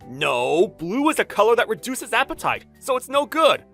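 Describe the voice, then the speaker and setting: A man's voice: medium pitch, expressive, moderate pace, fairly bright tone, soft background music. A man voicing a stubborn, earnest young designer who narrates a manga dub and swings from reflective to exasperated. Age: young adult. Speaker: narrator and lead character. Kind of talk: anime-style manga dub, dramatized first-person narration.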